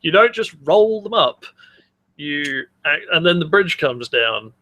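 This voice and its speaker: A man's voice: a little high, sing-song, measured pace, pushed and bright, dull audio. A man co-hosting a comedy podcast in casual banter, sardonic and prone to swearing. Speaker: podcast co-host. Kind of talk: casual banter and mock-dramatic readings.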